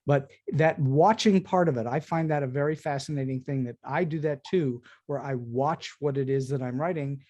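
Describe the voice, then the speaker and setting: A man's voice: medium pitch, moderate pace, hardly any pauses, a little mellow. A man hosting a conversational interview, probing and reflective, restating what he hears as he asks questions. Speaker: podcast host, interviewer.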